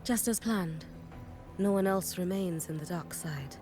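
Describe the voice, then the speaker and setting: A dutiful young female agent voiced by an actress reports in a restrained, matter-of-fact way. Her voice sits a little low, and loud game music surrounds it. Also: unhurried, natural voice. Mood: cool, restrained. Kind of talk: video game voice acting.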